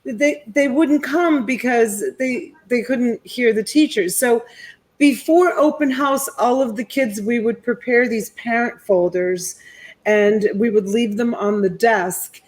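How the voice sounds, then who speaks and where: A woman's voice: on the high side, takes it slowly, darkish tone. A middle-aged woman, born in Italy with Italian as her first language, hosting a casual live chat and telling a personal story in a rambling way, full of fillers and restarts. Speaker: host.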